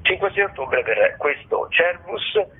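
A man hosting radio arts listings in a semi-improvised, halting way, often correcting himself. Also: a little high, lively intonation, slightly rough, narrow, tinny audio. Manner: hesitant, halting delivery with self-corrections. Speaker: radio host presenting theatre listings. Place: radio studio.

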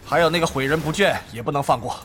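An actor playing a scheming, hot-tempered guild leader in a Chinese audio drama about an online game, argumentative and calculating. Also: male voice, fairly high pitch, fast, fairly bright tone, slight background noise, natural voice. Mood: testy, defensive.

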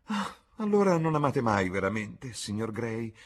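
Man acting out a coquettish duchess, lively swooping pitch. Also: normal speed, husky. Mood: coquettish, teasing. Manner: arch, teasing society talk. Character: flirtatious, witty aristocratic duchess, playfully coquettish.

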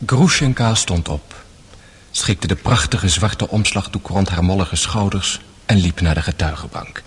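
A man's voice: low-pitched, a little slow, slightly rough. A man giving a literary, scripted narration for a radio play.

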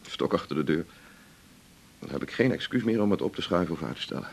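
A man's voice: low-pitched, expressive, quick pace, vocal fry, darkish tone, exaggerated voice. A man acting a restless, self-absorbed doctor in a radio drama, musing and emphatic with a slightly theatrical touch. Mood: determined. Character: restless, self-absorbed male doctor, earnest about marathon running.

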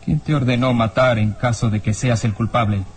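An actor playing a hard-nosed, uneasy police inspector in a radio serial, with a theatrical, menacing way of questioning. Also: male voice, mid-range voice, steady tone, normal speed, lightly acted. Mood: mocking, threatening. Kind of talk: old radio serial acting. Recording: radio drama with music underneath.

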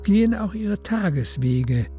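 An actor as the calm narrator of a literary radio play, hushed and measured in his telling. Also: high-pitched, soft background music. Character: calm literary storyteller of a love story.

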